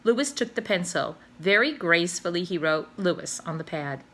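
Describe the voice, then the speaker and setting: A teacher reading a children's novel aloud, telling the story with animated expression. Her voice is bright and crisp, swinging widely in pitch as she narrates. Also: normal speed.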